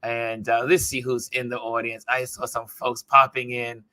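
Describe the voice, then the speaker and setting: A man hosting a casual livestream chat in a chatty way, his voice hoarse from talking. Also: mid-range voice, flat delivery, normal speed, sharp tone, no echo. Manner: chatty, greets viewers by name. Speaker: talk show host.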